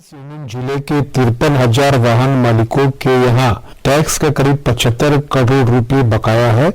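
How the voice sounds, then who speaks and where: A man's voice: medium pitch, darkish tone, rough recording. A man delivering a scripted news report as a radio correspondent.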